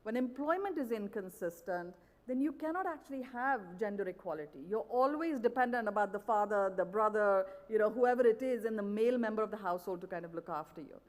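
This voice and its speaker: A woman's voice: medium pitch, lively intonation, a little fast, hardly any pauses. Earnest woman speaking in a reverberant hall. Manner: earnest, persuasive, with frequent "you know". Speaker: conference speaker. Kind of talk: pitch talk on stage. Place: large event hall.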